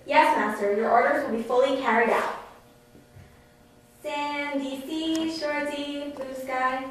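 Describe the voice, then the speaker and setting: A woman's voice: sits high, steady tone, takes it slowly. A woman playing an obedient spirit servant of a sorcerer, speaking formally in theatrical verse and dialogue. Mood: dutiful. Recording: very echoey, distant, noisy room.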